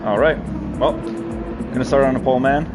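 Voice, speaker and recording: A man's voice: mid-range voice, a little fast. Hesitant man co-commentating sim racing. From Germany. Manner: relaxed, searches for words, hesitant. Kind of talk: live esports race commentary. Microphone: headset. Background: music and racing car sounds.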